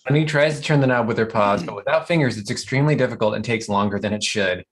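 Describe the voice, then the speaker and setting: A man's voice: mid-range voice, fast. A man narrating stage directions at a comedy table read, matter-of-fact and descriptive.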